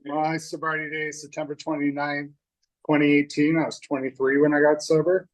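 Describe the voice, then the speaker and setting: A man's voice: fairly high pitch, level tone, fairly quick, poor audio. A young man from North Dakota, sharing his personal story at a recovery meeting in a candid, loose way, with many fillers and restarts. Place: meeting room.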